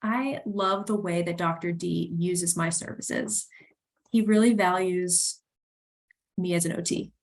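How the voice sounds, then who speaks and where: A woman's voice: lowish voice, steady tone, measured pace. A woman from the American South with a Southern accent, a hand therapist guest chatting enthusiastically on a podcast. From Southern United States. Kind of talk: casual podcast chat.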